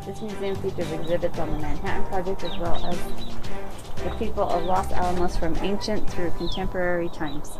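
Mom reading plaques out loud to her kids outside, music blasting under it. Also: lowish voice, steady tone, a little fast. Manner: reads plaques aloud to her children. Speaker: vlogger, mother on a family tour. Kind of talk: reading signs aloud, casual chat. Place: outdoors, town streets.

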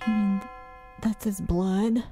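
A woman's voice: medium pitch, fairly even intonation, takes it slowly, music in the background, lightly acted. A woman playing a worried, caring character in a horror audio drama, speaking with urgency. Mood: uneasy. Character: worried, caring woman, alarmed for her friends.

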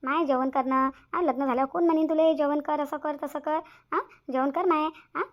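Woman voicing a shrill-pitched, nagging village mother. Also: fairly even intonation, normal speed, muffled recording, lightly acted. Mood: fussing, chiding. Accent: rural Maharashtrian Marathi. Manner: nagging, repetitive. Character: fussy, nagging middle-aged village mother. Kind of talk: cartoon comedy dialogue.

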